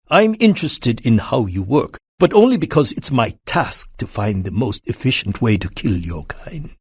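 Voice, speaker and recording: A man's voice: on the low side, husky, no echo, studio quality. A man playing a cold, polite, menacing syndicate scientist in a video game, speaking in a formal, clinical, condescending way. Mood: clinical, curious. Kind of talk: video game villain voice acting.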